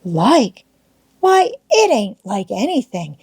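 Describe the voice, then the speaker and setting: A woman's voice: medium pitch, sing-song, slow, a little mellow, husky, exaggerated voice. A woman voicing an eager, boastful young schoolboy in love in an audiobook, with folksy old-fashioned rural American wording and a wheedling manner. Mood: coaxing. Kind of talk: audiobook character voice.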